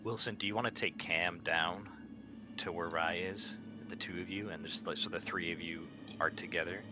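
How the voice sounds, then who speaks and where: A man's voice: low-pitched, fairly even intonation, normal speed. A man chatting casually as a player in a tabletop roleplaying game. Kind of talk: casual in-character game chat. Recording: clear background noise.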